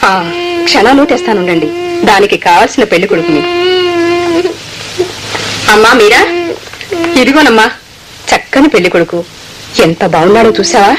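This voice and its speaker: A woman's voice: medium pitch, lively intonation, moderate pace, pauses often, noisy background, natural voice. A woman playing a doting, patient mother in a radio play, coaxing and affectionate. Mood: indulgent. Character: doting, patient Rajput mother soothing her child.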